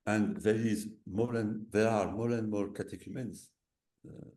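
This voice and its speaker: A French-accented man speaks slowly and haltingly in English, filling pauses and slipping back into French. His voice sits low and dark with a gravelly edge. It comes through an echoey room on a video call. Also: steady tone. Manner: hesitant, many fillers and restarts, slips into French. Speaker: visiting professor speaking on a webinar. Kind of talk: halting webinar talk in a second language.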